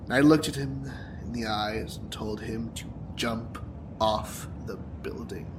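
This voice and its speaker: A man's voice: on the low side, steady tone, unhurried, close to an everyday voice. An actor playing a bitter, wounded young male supervillain in an audio drama, taunting and dramatic in manner. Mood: wounded, intense. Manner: taunting, dramatic, profane, breaking into whispers and laughter. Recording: clear background noise and ambience.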